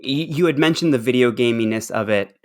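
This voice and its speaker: A man's voice: mid-range voice, a little fast. Wry man chatting on a podcast. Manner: wry, mildly profane. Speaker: podcast co-host. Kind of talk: casual chat.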